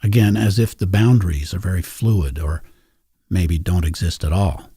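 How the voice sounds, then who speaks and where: Very husky, mellow male interviewer. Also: low voice, moderate pace, no echo, clean studio sound. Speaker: podcast host. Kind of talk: thoughtful literary interview.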